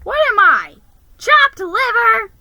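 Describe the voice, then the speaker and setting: A woman's voice: very high-pitched, big pitch swings, takes it slowly, sharp tone, voice pushed up, heavily acted. A woman voicing a jealous, hot-tempered little male Christmas elf in a storybook, shrieking and grumbling his complaints. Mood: indignant.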